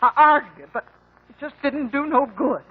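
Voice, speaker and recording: An actress playing a rural American older mother confessing in halting, folksy speech in a radio drama. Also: high voice, natural voice. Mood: distressed confession. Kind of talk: 1950s radio drama acting. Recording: muffled 1950 radio recording.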